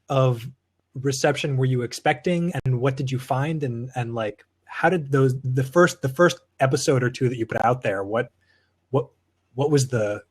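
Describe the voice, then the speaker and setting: Halting male podcast interviewer, mid-pitched. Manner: halting, repeats words while forming questions. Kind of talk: casual interview questioning.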